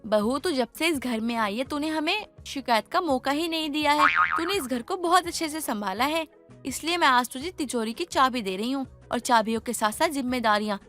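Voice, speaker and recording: A woman's voice: high voice, a little fast, a bit bright, soft background music. A woman playing an older mother-in-law and household matriarch in a dramatized moral story, speaking in an emphatic, homely, instructive way. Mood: trusting, persuasive.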